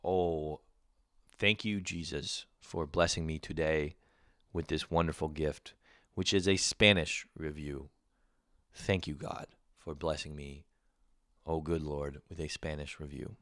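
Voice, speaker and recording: A man's voice: a bass voice, steady tone, a little slow, fairly bright tone, no room sound, studio quality. A man hosting a comedy podcast, reading reviews aloud with crude, sarcastic, mocking commentary. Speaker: podcast host.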